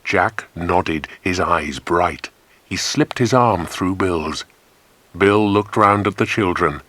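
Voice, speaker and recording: A man narrating a children's adventure story in an expressive, scripted audiobook style. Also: deep voice, slow pace, no echo.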